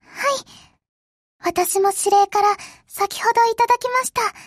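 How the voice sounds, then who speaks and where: A woman voicing a sweet, polite little warship girl in an anime game, speaking gently. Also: extremely high pitch, steady tone, unhurried, no echo, studio quality. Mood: shy, grateful. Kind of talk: anime game character voice acting.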